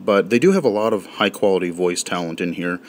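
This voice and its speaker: A man's voice: sits low, expressive, a little fast. A man casually walking through a mobile game and talking with lots of fillers and restarts. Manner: rambling, filler-heavy. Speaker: game reviewer. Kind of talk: casual spoken app walkthrough.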